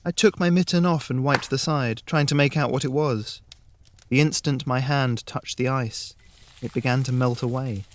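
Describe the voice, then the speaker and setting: A man narrating a scripted fairy tale in the first person, measured and with dramatic pauses. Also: mid-range voice, fairly even intonation, a little hiss or hum. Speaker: story narrator.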